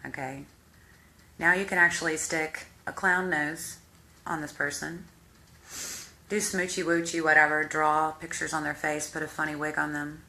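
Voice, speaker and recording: A woman hypnotherapist with a deep voice, guiding the listener through a visualization in slow, calm, step-by-step instructions. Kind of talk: guided visualization exercise.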